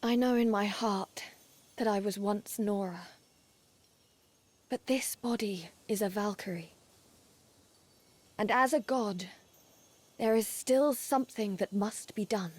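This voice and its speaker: An actress playing a solemn, resolute young warrior goddess in a dramatic video game, speaking in a measured, earnest way. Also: female voice, mid-range voice. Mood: solemn resolve. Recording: loud music underneath. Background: game score music.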